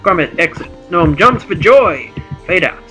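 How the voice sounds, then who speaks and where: A man's voice: high voice, animated, a little slow, pushed and bright, faint music behind. A man podcasting casually and reading an amateur script, giggly and apologetic.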